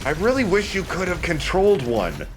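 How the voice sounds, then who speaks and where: A man's voice: high-pitched, wildly swooping pitch, moderate pace, slight background noise, low-quality recording. A man giving casual commentary over a video game, talking in a conversational let's play style. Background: video game sound.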